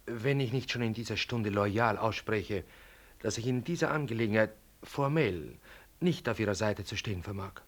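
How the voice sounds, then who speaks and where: Actor as a stiff, formal hospital doctor. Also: male voice, on the low side, normal speed, natural voice. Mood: stiffly formal. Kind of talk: 1960s German radio drama acting.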